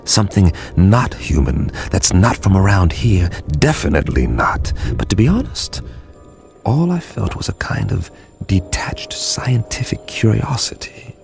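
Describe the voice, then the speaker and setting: An actor playing a wry, detached scientist in a radio drama, conversational and musing, with a gravelly voice. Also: male voice, low-pitched, big pitch swings, unhurried, darkish tone, faint music behind, heavily acted. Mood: wry, reflective. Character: wry, detached male scientist recounting alien arrival.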